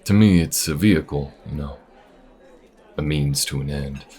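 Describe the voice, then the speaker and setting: Man playing a space bounty hunter, very low voice, loyal, protective, folksy, audio roleplay, music behind. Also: a little mellow. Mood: relaxed, sincere. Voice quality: very deep voice. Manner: folksy, unhurried, reassuring. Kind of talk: intimate audio roleplay acting.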